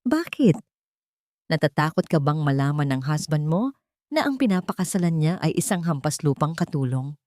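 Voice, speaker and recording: A woman's voice: low-pitched, very animated, moderate pace, darkish tone, no echo. A woman narrator plays a haughty young villainess from an audiobook melodrama. She is greedy and scheming, and talks in a sneering, demanding, theatrical manner. The sound is very dry and close. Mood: mocking, coy.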